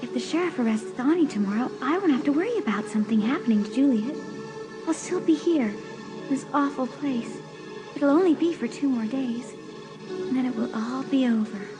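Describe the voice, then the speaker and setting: A woman's voice: high voice, expressive, moderate pace, over music, rough recording. A woman voicing a worried teenage girl who talks in urgent, questioning bursts in an audio drama.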